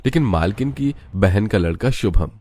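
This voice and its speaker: A man with a very deep voice narrates a moral story. He reads from a script as the storyteller. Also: lively intonation, moderate pace, a little mellow, no room sound.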